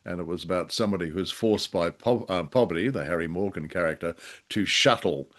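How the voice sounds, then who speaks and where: Low-voiced man, hesitant podcast co-host. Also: a bit bright. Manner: hesitant, halting phrasing. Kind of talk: unscripted discussion of old-time radio drama.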